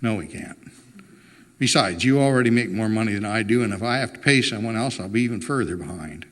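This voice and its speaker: A man's voice: fairly low pitch, lively intonation, a little fast, fairly bright tone. Man reading as an easygoing mechanic, echoing hall. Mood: resistant. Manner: casual, playful. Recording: reverberant hall with long echo.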